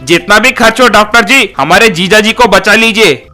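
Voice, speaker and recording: A man's voice: high-pitched, moderate pace, sharp tone, soft background music. A man voicing an earnest, distressed villager pleading for help in a storybook tale. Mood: urgent. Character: pleading, distressed villagers and excitable brother-in-law.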